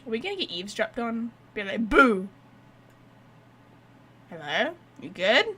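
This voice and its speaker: A woman gives casual playthrough commentary as she streams. Her voice is high and swings widely, with an expressive, sweary, teasing manner. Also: measured pace, slightly rough. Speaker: game streamer.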